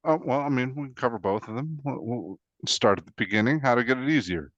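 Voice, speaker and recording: A man of average pitch chats casually as a co-host who asks questions. His voice is captured very dry, with a slightly dull, lo-fi sound. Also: quick pace, no room sound.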